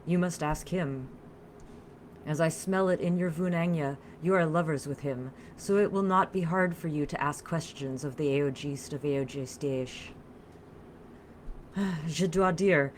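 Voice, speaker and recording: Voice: medium pitch, normal speed, a little hiss or hum, natural voice, poor audio. A narrator voicing an earnest, solemn female vampire in a story, with a flowing, formal French accent. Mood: solemn, reminiscing.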